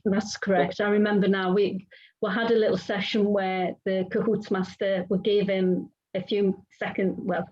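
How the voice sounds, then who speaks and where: A woman's voice: medium pitch, mellow. A woman talking casually in an online club meeting, explaining how the meeting should run, often restarting her sentences. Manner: rambling, self-correcting, explaining. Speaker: club member. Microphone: video call. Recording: dull lo-fi video call audio.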